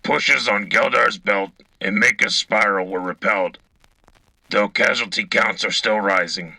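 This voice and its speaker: A gruff, war-weary radio correspondent, played by a man in a wartime drama. The voice is low and raspy, with a hard, forceful edge. The delivery is terse, grim and dry. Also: measured pace, no room sound, heavily acted, poor audio. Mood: grim resolve. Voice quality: gravelly, raspy. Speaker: radio co-host. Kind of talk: wartime radio news acting.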